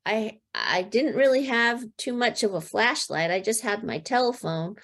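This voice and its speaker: A middle-aged American woman, a podcast guest, telling a personal story in an earnest, halting way with many fillers and restarts. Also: on the high side, expressive, fairly bright tone. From United States. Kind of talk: casual storytelling.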